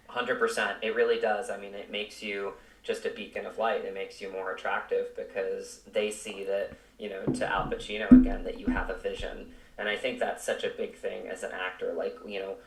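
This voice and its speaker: A man's voice: lowish voice, slight background noise. A man speaking as an interview guest in an earnest, reflective, conversational way, recorded with room echo at some distance. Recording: echoey room, distant from mic.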